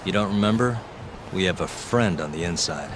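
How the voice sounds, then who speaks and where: A man's voice: sits low, normal speed, lightly acted. A man playing a cool, wry detective in his thirties in a video game, with dry, understated, casual delivery. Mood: confident. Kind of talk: naturalistic video game dialogue. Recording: clear city street noise behind.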